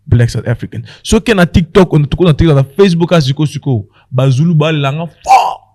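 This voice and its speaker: A man's voice: fairly high pitch, fast, a little mellow, no echo, clean studio sound. A man with a Congolese accent chatting casually as a comedian guest on a podcast, switching between languages and telling stories with repeated words.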